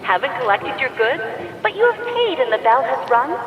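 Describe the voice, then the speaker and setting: A woman's voice: high-pitched, big pitch swings, moderate pace, faint music behind. A woman playing a stern, blunt public-address announcer at a space trade plaza in a comedy audio drama. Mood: officious, blunt. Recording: echoey reverberant hall, muffled public-address sound.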